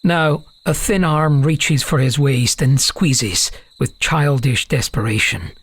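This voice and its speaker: A man narrating a scripted fantasy audiobook as a dramatic, measured, solemn storyteller. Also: mid-range voice, breathy edge, dry recording.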